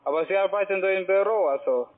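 High-voiced insistent male phone caller. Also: quick pace. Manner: insistent, questioning, non-native phrasing. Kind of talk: argumentative sales phone call. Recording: tinny phone line with noisy office crowd. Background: loud office chatter and music.

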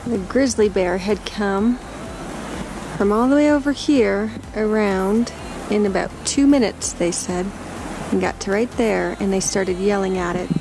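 Slow-talking woman filming outdoors on a camera. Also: mid-range voice, gravelly. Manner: relaxed, chatty, laughs between sentences. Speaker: family camper filming a trip. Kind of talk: casual vlog narration. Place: outdoors on a mountain lakeside campsite. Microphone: action camera. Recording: crackling noise from a camera adaptor.

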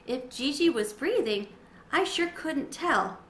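A middle-aged woman giving an expressive, dramatized read-aloud of a children's story. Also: high-pitched, slow. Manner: animated, dramatizing. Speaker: storybook reader. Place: small sunroom with windows, indoors. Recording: roomy echo, faint background noise.

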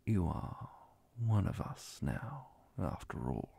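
A man playing a calm, courteous male vampire inquisitor in an ASMR roleplay, speaking softly and formally with whispers and a gravelly, breathy voice. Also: sits low, no room sound, heavily acted. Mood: formal, solemn. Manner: formal, measured, hushed. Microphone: close mic, dry.

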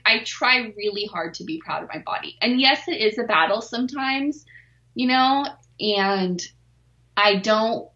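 A woman's voice: a little high, bright, forceful tone, echoey room, dull audio. A forty-year-old woman, a weightlifter, talking openly and self-deprecatingly as a podcast guest, laughing easily. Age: 40s. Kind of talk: candid podcast interview.

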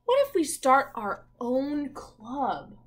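An earnest little girl from a picture book, read aloud by a woman. She speaks simply in a fairly high voice. Her lines come slowly and clearly spaced, as in a story for children. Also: sing-song, exaggerated voice.

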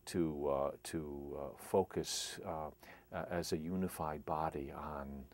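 Man, extremely low, halting delivery, frequent restarts. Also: a little mellow, dry recording. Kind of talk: documentary interview.

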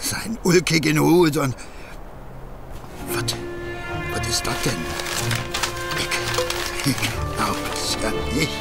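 Casting: tipsy Berlin working-class husband, good-natured boaster, slow and gravelly, for radio play. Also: male voice, fairly high pitch, animated, over loud music, full character voice. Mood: amazed, pleased. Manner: colloquial, wheedling, hesitant storytelling. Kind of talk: German audio drama acting.

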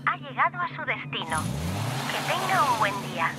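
A woman's voice: fairly high pitch, very animated, moderate pace. A woman voicing a polite satellite navigation machine in a children's cartoon, giving scripted announcements. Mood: polite, instructive. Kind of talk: animated children's cartoon dub in Spanish. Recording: loud music behind.

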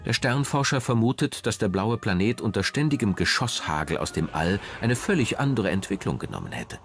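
Man, low voice, lively intonation, documentary narrator, formal scripted delivery, music underneath. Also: a little fast.

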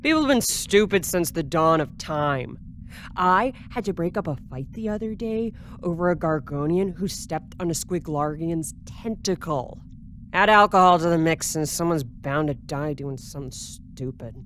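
A woman's voice: deep voice, animated, normal speed, a bit bright, slight background noise. A woman playing a blunt, hard-partying security officer in an audio drama, with a casual, unimpressed drawl.

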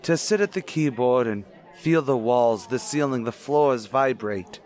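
A man's voice: mid-range voice, animated, measured pace, a little mellow, some chatter behind, lightly acted. A man playing a courtly, cultured rich widower, formal and suave, in an old-time radio drama. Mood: rapturous, genial.

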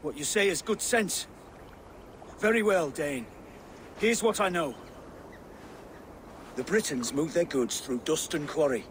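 A man's voice: a little high, unhurried, long pauses, slight background noise, natural voice. An actor playing a wary, embittered local man in a video game, speaking in a guarded, pointed way. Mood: grudgingly helpful. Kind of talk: video game character acting.

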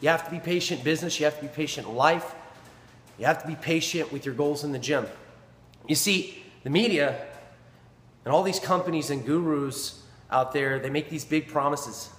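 A man's voice: fairly high pitch, normal speed, fairly bright tone. A man in his thirties, a personal trainer, giving an earnest, emphatic motivational talk to a camera in a small indoor gym. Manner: earnest, emphatic, repeats phrases for stress. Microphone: camera in front at close range. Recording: echoey gym with long reverb.

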